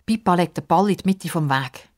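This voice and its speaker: A woman's voice: fairly low pitch, fairly quick, darkish tone. A woman narrating a scripted story for children.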